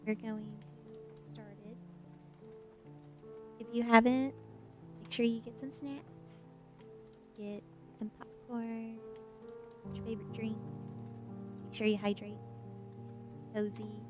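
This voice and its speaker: Gentle woman reading softly over music. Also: a little high, little pitch movement, takes it slowly, long pauses. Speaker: streamer and storyteller. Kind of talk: soft ASMR chat and book reading. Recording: music behind the voice. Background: background music.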